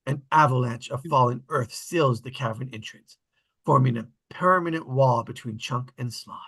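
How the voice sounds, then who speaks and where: Bright, husky man reading stage directions. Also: medium pitch, normal speed. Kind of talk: scripted narration at table read.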